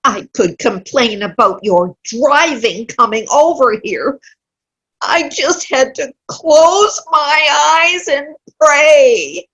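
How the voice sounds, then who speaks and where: A woman's voice: sits high, a bit bright, rough recording. A woman playing a querulous, sour old woman who complains about her pains in a comic play reading. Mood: indignant. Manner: querulous, slow, dramatic complaining. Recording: video call play reading.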